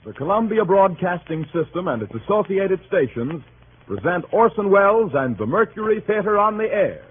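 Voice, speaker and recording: Animated 1930s radio announcer, a man. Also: sits high, moderate pace, husky. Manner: formal, declamatory. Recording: narrow-band vintage 1938 radio broadcast.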